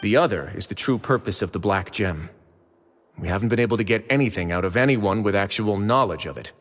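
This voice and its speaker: An actor playing a composed, polite man in charge, speaking in a measured, formal way. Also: lowish voice, in a large hall, faint music behind, natural voice. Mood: calm. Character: composed male warden, calm and courteous.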